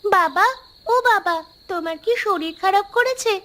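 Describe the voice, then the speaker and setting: A woman's voice: very high voice, moderate pace, sharp tone. A woman voicing a sweet, caring young village daughter in a fairy-tale cartoon, tender and childlike. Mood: tender concern.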